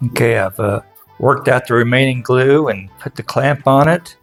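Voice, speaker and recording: A man's voice: mid-range voice, moderate pace, faint music behind. A man giving casual, plain-spoken how-to narration as a craftsman, explaining things step by step in a folksy way. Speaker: furniture restorer and instructor.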